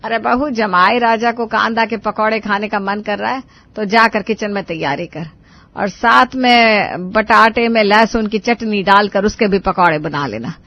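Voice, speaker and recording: A woman's voice: mid-range voice, moderate pace, close to an everyday voice. A woman playing a stern, bossy older Indian mother-in-law who scolds and orders her family in a storytelling voice, on a dull recording. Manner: bossy, sharp, commanding.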